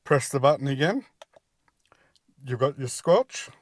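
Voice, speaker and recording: A man's voice: mid-range voice, lively intonation, unhurried, no echo. Middle-aged man giving practical DIY instructions. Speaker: DIY presenter. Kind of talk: casual step-by-step tutorial. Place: outdoors at a car engine bay and inside the vehicle cab.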